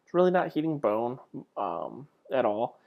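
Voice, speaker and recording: A man's voice: mid-range voice, sing-song, unhurried, muffled recording. A man giving an informal slide lecture in a conversational way, with rhetorical questions and self-deprecating asides. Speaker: lecturer, clinical instructor.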